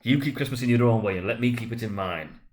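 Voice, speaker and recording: A man's voice: medium pitch, fast talker, a little mellow, lightly acted. A man voicing a stern, irritable, miserly old uncle who growls dismissively in a dramatic storybook reading.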